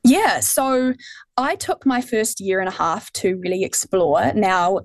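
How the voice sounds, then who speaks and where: A woman's voice: medium pitch, lively intonation, unhurried, no echo. Woman, 20s, new zealand accent, interview guest, modest, dry close sound. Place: remote link.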